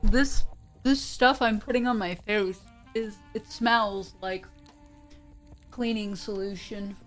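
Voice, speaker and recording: A woman's voice: medium pitch, fairly even intonation, slow pace. A woman hosting a livestream read-along, chatting casually in a playful, rambling way, with English as her second language. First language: not English. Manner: playful, rambling, breaks into sound imitations. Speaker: livestream host reading pulp science fiction aloud. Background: faint music.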